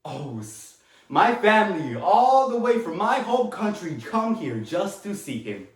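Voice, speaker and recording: A man performing a dramatic monologue as a talkative, tender immigrant father with a Latin American Spanish accent, rambling haltingly with small chuckles. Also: sits high, very animated. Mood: insistent, tender. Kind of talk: dramatic monologue, stage acting. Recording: echoey room.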